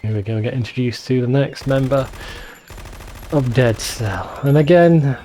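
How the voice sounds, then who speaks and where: A man's voice: mid-range voice, unhurried, warm tone, slight background noise. A man giving casual, sweary commentary over a video game in an informal, bemused way. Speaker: let's play video host.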